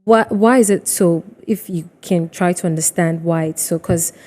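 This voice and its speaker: A woman's voice: on the low side, moderate pace, mellow. A woman with a Ghanaian accent hosting a conversational TV interview in a reverberant room. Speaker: TV show host.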